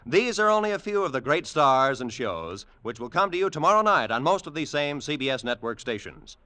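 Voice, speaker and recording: A man's voice: on the high side, sing-song, brisk, pushed and bright. A man with an American accent reading scripted credits and promos as a 1940s radio announcer. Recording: old radio broadcast, music behind.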